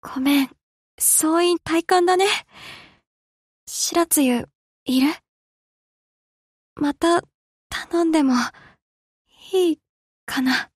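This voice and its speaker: A woman's voice: high-pitched, extremely slow, pauses often, no room sound, clean studio sound. A woman playing an easygoing, slangy young navy destroyer girl in an anime game, dutiful but fond of rest. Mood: distressed. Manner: casual slangy girlish speech. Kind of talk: Japanese anime game character voice lines.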